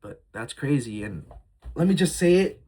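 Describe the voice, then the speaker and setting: A young man in his early twenties speaks straight into a phone camera, rambling and heartfelt, thinking aloud with fillers and repeats. His voice is husky and a little breathy, pitched at an ordinary middle height for a man. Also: animated, moderate pace, darkish tone. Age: early 20s. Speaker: vlogger. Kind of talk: casual confessional vlog.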